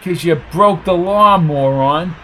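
A man's voice: sits high, expressive, a little slow, a little hiss or hum, voice pushed up, poor audio. A man voicing a hot-tempered, bullying tough guy in an audiobook, blunt, slangy and snapping. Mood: aggressive.

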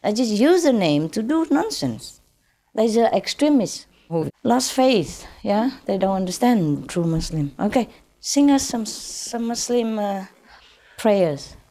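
Lady, mid pitch, chatting informally to her group, lots of little tag questions. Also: very animated, slow pace, mellow. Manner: chatty, often repeating short tag questions. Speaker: spiritual teacher leading a group gathering.

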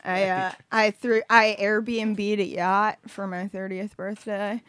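A woman's voice: mid-range voice, flat delivery, slow pace, a bit bright, clear, resonant tone. A woman of thirty chatting casually on a podcast, with lots of fillers and frequent laughter. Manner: laughing often, filler-heavy. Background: music playing.

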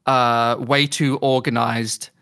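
Bright-voiced man, wry and measured. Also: medium pitch, level tone, smooth. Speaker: podcast panellist and player. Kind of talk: casual group chat.

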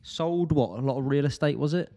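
A man's voice: fairly high pitch, fairly quick, mellow. A man co-hosting a podcast, chatting in a wry, easygoing way and laughing often. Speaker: podcast co-host. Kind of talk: casual podcast chat.

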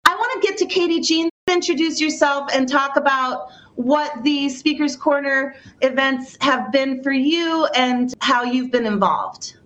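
High-voiced female radio host. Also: a little slow, hardly any pauses, a bit bright, lo-fi sound. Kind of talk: casual radio discussion.

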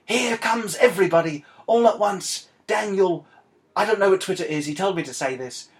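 A man playing a dead Argentinian woman writer as a comic podcast host, world-weary and scornful, with a halting, deadpan, exasperated delivery. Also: high voice. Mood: dry, muddled. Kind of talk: comic in-character monologue and dramatic reading.

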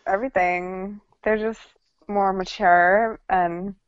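Brief: female co-host, short shy replies, smooth voice, dry muffled sound. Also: mid-range voice, fairly even intonation, slow, dry recording. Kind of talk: casual interview talk.

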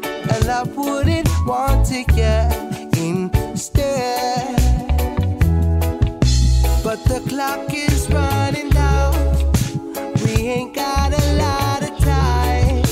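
A woman sings a slow, stretched-out reggae line in a high voice. Loud music plays under her the whole way. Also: steady tone. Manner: slow drawn-out singing. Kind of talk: reggae song vocal. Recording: played track with loud music.

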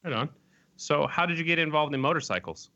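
Man, podcast host, crisp bright voice, friendly casual questioning. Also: a little high, brisk, highs cut off. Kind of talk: casual interview.

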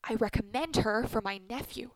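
A woman's voice: fairly high pitch, normal speed, no room sound, lightly acted, poor audio. A woman playing a blunt, sardonic middle-aged wife with a bitter tongue in a radio play. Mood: scornful.

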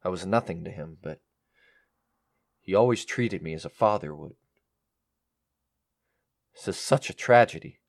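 Man acting a low-voiced scheming male heir. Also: lively intonation, unhurried, gravelly, long pauses, heavily acted. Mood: feigned sorrow. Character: scheming murderous male heir, smug, feigning grief. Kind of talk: audio drama acting.

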